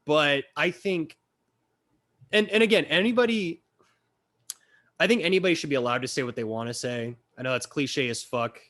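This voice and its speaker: A man's voice: on the high side, normal speed, long pauses, a bit bright. A man in his thirties, a bit sick, hosting a casual interview and talking loosely and frankly with plenty of swearing. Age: 30s. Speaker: interviewer, channel host. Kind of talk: casual chat.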